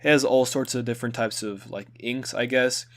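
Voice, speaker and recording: A young man whose first language is Hungarian talks through his school video project. His voice sits at an ordinary middle pitch, and he speaks loosely and casually, dropping in little fillers as he goes. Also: moderate pace. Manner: relaxed, conversational, with filler words.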